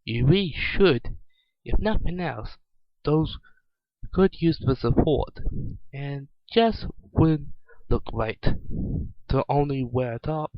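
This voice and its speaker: A man's voice: fairly high pitch, slow pace, long pauses, no room sound, highs cut off. A man voicing a kindly, fussing, motherly rabbit woman in a story reading. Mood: kindly concern.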